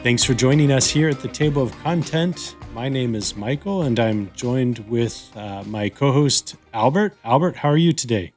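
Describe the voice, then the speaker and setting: A man's voice: mid-range voice, expressive, normal speed, few pauses, a little mellow, soft background music. A man hosting a podcast, chatting casually with a co-host.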